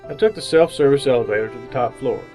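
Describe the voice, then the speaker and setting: Male actor as lonely city bachelor, halting, bemused, mid-range voice, old-time radio drama narration. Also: medium pitch, darkish tone, soft background music, close to an everyday voice. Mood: wistful narration. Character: awkward, lonely city bachelor seeking romance.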